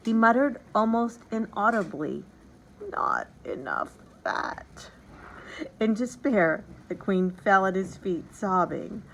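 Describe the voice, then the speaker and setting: A woman narrating a storybook aloud in an expressive, dramatic and deliberate way. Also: medium pitch, a little hiss or hum, poor audio. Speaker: teacher reading a storybook aloud.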